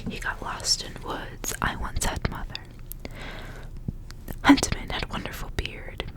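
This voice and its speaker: A young woman whispering in a breathy, intimate ASMR style, softly reading and chatting. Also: slow. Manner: soft, intimate narration with casual asides. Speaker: ASMR reader.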